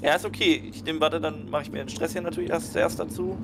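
A man's voice: a little high, steady tone, quick pace, creaky. A man chatting casually as a player in a tabletop role-playing game. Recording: music behind the voice.

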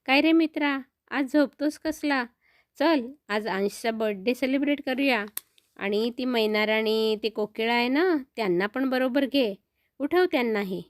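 एक बाई साकारतेय उंच आवाजातला प्रेमळ उडणारा घोडा. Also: female voice, fairly high pitch, a little slow, no echo, studio quality, lightly acted. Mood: cheerful, eager. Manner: reassuring, inviting, speaks to a child. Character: friendly talking male flying horse, eager playmate. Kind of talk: children's audio storytelling.

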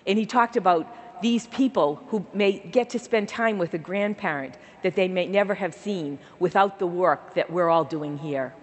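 A woman's voice: lowish voice, normal speed, hardly any pauses, slightly rough. A woman in her sixties with a slight Boston accent, giving an earnest keynote address on a large conference stage. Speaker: keynote speaker, organization leader. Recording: large hall reverb.

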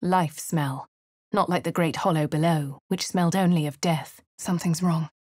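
A posh, wry adventurer woman with an English accent, well-spoken and crisp. Her voice sits a little low and sounds close and dry in the studio. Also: fairly even intonation, moderate pace, a little mellow, no echo. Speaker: player character voice. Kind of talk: game voice lines and narration. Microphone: studio mic, dry.

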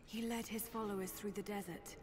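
An actress playing an earnest, determined young archaeologist in a video game, musing to herself in a hushed voice. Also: female voice, medium pitch, steady tone, brisk, background noise, lightly acted. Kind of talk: cinematic video game acting. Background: video game music.

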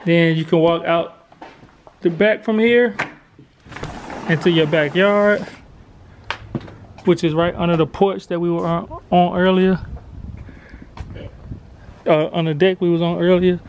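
A man's voice: sits high, steady tone, a little slow, pauses often. An American man, around his thirties, giving a casual, chatty house tour as a family vlogger on a handheld camera. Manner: informal, chatty. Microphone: handheld vlog camera at arm's length. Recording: handheld camera with clear background noise.

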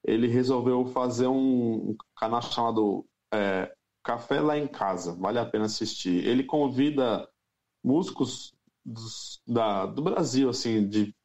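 A man from Brazil, a music teacher and producer, talking through his plans in a relaxed, thoughtful interview. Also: medium pitch. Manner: thoughtful, unhurried, many fillers. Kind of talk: casual interview talk.

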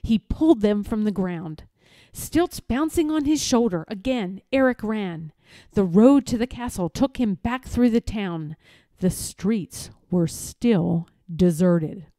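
A woman reading a children's story aloud in a deliberate, expressive, dramatic style. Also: mid-range voice, no room sound. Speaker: storybook reader.

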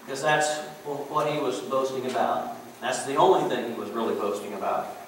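A man's voice: mid-range voice, a little fast. A middle-aged man preaching a sermon in a church sanctuary, in a folksy, conversational way with frequent "you know"s. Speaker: pastor. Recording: very echoey church room, distant mic, faint hiss.

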